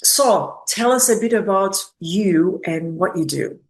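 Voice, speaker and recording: A woman hosting a business spotlight interview, speaking in an effusive, complimentary way with deliberate phrasing. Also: lowish voice, animated, low-quality recording. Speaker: interview host.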